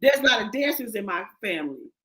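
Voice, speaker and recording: A woman hosting a podcast, telling stories in a loud, profane, animated way. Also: mid-range voice, fast. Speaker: podcast host. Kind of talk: casual chat.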